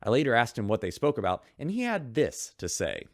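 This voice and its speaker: A man hosting a radio show in scripted narration, with smooth broadcaster delivery. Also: mid-range voice, huge pitch swings, a little fast, dry recording, studio quality.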